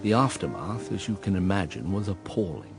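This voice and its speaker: An actor playing a melancholy gentleman narrating his own past in a radio drama, measured, understated and reflective. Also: deep voice, lively intonation, gravelly, loud music behind the voice. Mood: wistful, sombre. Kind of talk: first-person dramatic narration.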